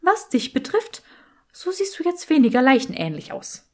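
Lady doing a creepy old lady skull, high voice, vain and theatrical, fantasy audiobook. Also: very animated, normal speed. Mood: haughty, vain. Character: vain old female talking skull, eerie and haughty.

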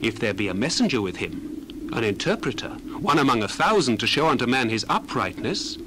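A man's voice: mid-range voice, very animated, fairly quick, noisy background, natural voice. An actor playing an earnest, indignant young man of ancient times, declaiming solemnly in a dramatized scripture reading. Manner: declamatory, solemn, archaic phrasing.

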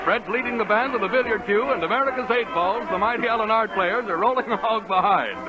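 Male radio announcer, high theatrical voice, muffled vintage broadcast, loud orchestra behind. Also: fast. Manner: booming, theatrical announcer delivery. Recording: dull 1930s radio recording with loud music.

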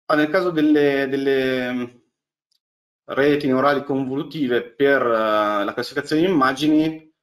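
A man who explains slides in an online lecture. His voice sits at an ordinary middle pitch, and he talks in halting bursts, with many fillers and restarts. The sound is a plain webcam mic in a small room. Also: steady tone. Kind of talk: technical lecture on deep learning. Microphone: webcam on a video call.

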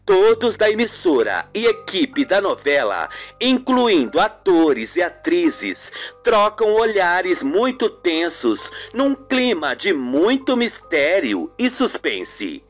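Slow, dramatic male narrator. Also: high-pitched, sing-song, soft background music. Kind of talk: scripted radio soap narration.

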